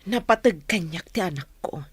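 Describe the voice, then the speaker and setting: An actress playing a doting, lonely older mother in a radio drama, speaking softly and plaintively. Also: on the low side, animated, moderate pace, husky, no room sound. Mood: tender, wistful. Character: doting, lonely older mother missing her grown child.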